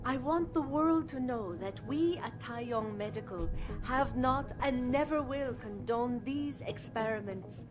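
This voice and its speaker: Game corporate boss, played by a woman: poised female, higher pitch, measured and self-assured, music behind. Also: close to an everyday voice. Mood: assured, defensive. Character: poised, defensive female corporate chief executive. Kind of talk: formal public statement.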